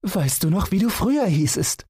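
A man voicing a sweet, playful young princess with a soft, girlish manner in a fairy-tale audiobook. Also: sits high, big pitch swings, normal speed, breathy edge, no echo, clean studio sound, pitched above the performer's own voice, exaggerated voice. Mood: teasing.

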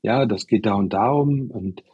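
A man in his sixties, a chef, answering interview questions at length in a relaxed, expansive way with many fillers. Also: on the low side, moderate pace, husky, no echo, dull audio. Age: 60s.

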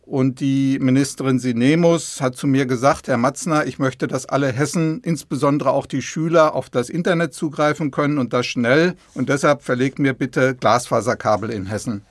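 A man's voice: mid-range voice, fairly even intonation, normal speed, hardly any pauses, no echo, clean studio sound. A man explaining things simply and didactically to young listeners as an expert guest on a podcast. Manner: didactic, illustrating with everyday comparisons. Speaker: expert guest, government department head.

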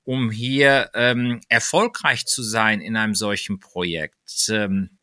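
A man's voice: lowish voice, steady tone, slow, pushed and bright, no room sound, studio quality. A man hosting a podcast interview, asking long, winding questions full of hesitations. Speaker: podcast host.

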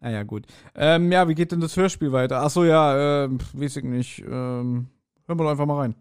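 A man's voice: on the high side, normal speed, clear, resonant tone. A man co-hosting a podcast, casually discussing an audio drama in a colloquial, speculative way. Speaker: podcast co-host.

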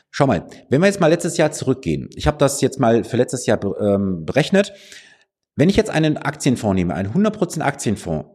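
Husky man with a cold. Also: mid-range voice, a little mellow. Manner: addresses listener directly, emphatic, persuasive. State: slightly hoarse from a cold. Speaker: financial advisor and podcast host. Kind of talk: direct-to-camera advice monologue. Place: office.

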